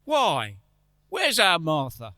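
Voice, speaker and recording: A man playing a poor, warm-hearted Victorian clerk and doting father in a radio play performed on stage. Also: fairly high pitch, huge pitch swings, slow pace, no echo, clean studio sound, exaggerated voice. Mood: puzzled. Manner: effusive, tender. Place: church, live performance.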